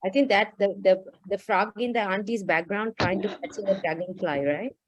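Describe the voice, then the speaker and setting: A woman on a video call casually explaining animals to children, a little halting and checking in as she goes. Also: medium pitch, highs cut off, slight background noise. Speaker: guest explainer on wetland animals. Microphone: video call microphone.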